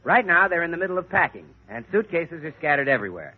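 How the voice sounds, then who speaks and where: Male 1940s radio announcer, American, keen sales patter, lightly high-set voice, thin vintage broadcast sound. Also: big pitch swings, fast, breathy edge. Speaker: radio announcer and show regular. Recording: tinny, narrow-band vintage radio recording.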